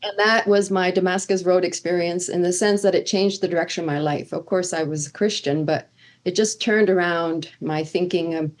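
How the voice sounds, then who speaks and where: A woman's voice: fairly low pitch, fairly even intonation, moderate pace. A middle-aged woman originally from Sweden, a seminary professor, answering interview questions earnestly with many fillers and restarts. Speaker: seminary professor of Old Testament.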